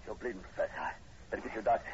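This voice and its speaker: An actor playing a terse, commanding police sergeant in old radio horror, authoritative and no-nonsense. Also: male voice, fairly low pitch, steady tone, rapid-fire, close to an everyday voice. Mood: urgent. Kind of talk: 1940s radio horror acting. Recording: muffled, faintly hissy old radio recording.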